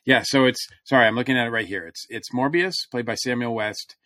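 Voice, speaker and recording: Halting man chatting on a fan podcast. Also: mid-range voice, pushed and bright, no room sound, studio quality. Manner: halting, searching for words mid-thought. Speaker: podcast co-host discussing science fiction. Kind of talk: casual, fannish panel chat.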